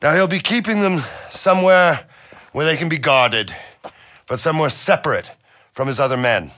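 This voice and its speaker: An actor playing an earnest, dutiful medieval soldier briefing his men in a video game, measured and instructive. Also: a little high, pushed and bright. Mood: commanding, steady. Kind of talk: dramatic video game acting.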